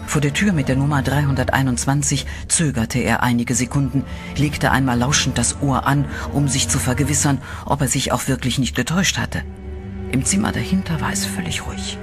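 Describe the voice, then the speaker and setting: A man reading scripted, dramatic narration as the narrator of a horror audio play. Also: medium pitch. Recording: music behind the voice.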